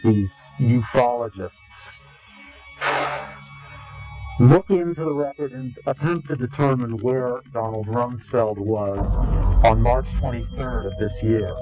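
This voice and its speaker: A man giving interview testimony in a solemn, halting and deliberate way, his voice sounding slightly unnatural, as if processed. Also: medium pitch, over music, rough recording. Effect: voice changer removed, slightly unnatural sound. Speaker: anonymous interviewee.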